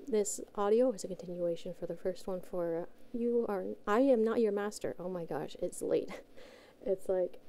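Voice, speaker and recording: A woman's voice: mid-range voice, normal speed, dark tone. A woman hosting her own audio channel, chatting casually to listeners in a rambling, informal, self-effacing way. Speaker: amateur voice actor, channel host.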